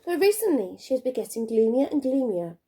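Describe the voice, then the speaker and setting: Lively high-voiced woman reading a storybook. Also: a little hiss or hum. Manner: animated, dramatic pauses and emphasis. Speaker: primary school teacher reading aloud. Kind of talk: expressive children's storybook reading.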